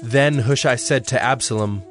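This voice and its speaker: Guy narrating audio bible, solemn and measured, mid voice, bit of music under it. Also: medium pitch, fairly bright tone. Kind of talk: dramatized scripture narration.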